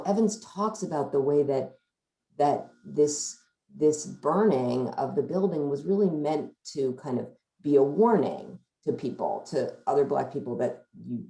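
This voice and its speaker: A woman with a low, gravelly voice talks through a book analytically. Her tone is mellow and her sentences loop and hedge as she thinks. The sound is roomy and echoing. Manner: hedging, circling sentences with frequent "sort of". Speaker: discussion leader at a book talk. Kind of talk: thoughtful analytical book discussion.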